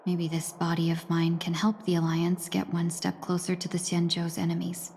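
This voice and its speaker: A woman acting a graceful, composed young woman in a video game, with polite, measured phrasing and a wry, quietly resolute temper. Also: on the low side, completely flat delivery, a little hiss or hum, lightly acted. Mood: calm resolve. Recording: long reverberant hall echo.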